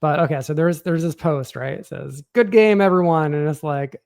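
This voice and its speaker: A man's voice: fairly high pitch, moderate pace, vocal fry, a little mellow. An American man hosting a podcast, chatting casually about politics in a blunt, informal way. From Washington State, United States. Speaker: podcast host.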